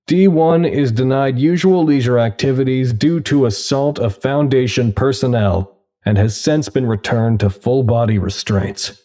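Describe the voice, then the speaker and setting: An actor playing a detached narrator who reads clinical case logs in a formal, deadpan way. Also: male voice, mid-range voice, lightly acted. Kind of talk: scripted audio drama narration.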